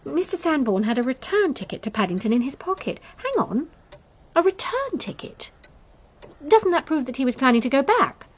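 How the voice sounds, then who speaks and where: An actress playing an eager, anxious, protective English god-daughter in a radio drama, earnest and fretful, with a breathy edge. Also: female voice, high-pitched, sing-song, moderate pace. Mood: eager, puzzled.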